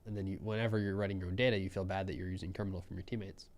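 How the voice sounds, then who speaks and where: A young man giving relaxed, musing live commentary on a programming contest, often trailing off mid-thought. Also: deep voice, very fast.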